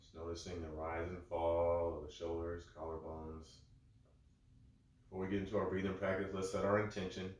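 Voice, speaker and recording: A man guiding a breathwork session in a calm, gentle, step-by-step way, a little congested. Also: low voice, moderate pace. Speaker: breathwork instructor. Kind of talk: guided breathing instruction. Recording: very echoey room.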